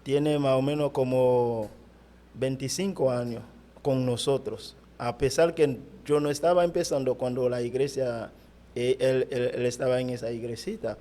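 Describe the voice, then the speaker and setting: Haitian pastor, halting Spanish, mellow voice. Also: male voice, medium pitch. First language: Haitian Creole. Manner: halting, searching for words in Spanish. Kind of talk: interview answers.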